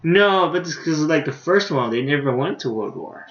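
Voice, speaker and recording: A man's voice: a little high, lively intonation, a bit bright. Dull-sounding man reading a game aloud. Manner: halting, stumbles and corrects himself while reading. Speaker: livestreamer playing a visual novel. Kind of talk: reading game dialogue aloud with casual commentary.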